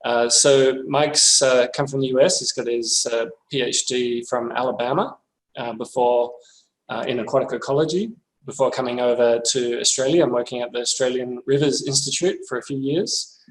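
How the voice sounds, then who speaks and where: A man hosting a seminar, introducing the speaker in a halting way with many fillers. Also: mid-range voice, slight background noise. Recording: echoey room.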